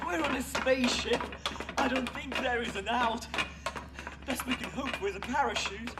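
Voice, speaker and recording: A woman playing a quick-witted young woman escaping captivity in an audio drama, urgent and wry. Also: a little high, heavy background noise, lightly acted. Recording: loud music score behind.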